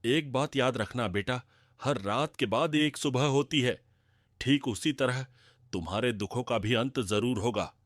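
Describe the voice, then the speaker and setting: A man acts a frail old wandering sage from a cartoon moral tale. He speaks gently and kindly at a middling pitch, like an elder giving blessings. The sound is dry and close. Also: measured pace, dry recording, lightly acted. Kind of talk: cartoon moral story acting.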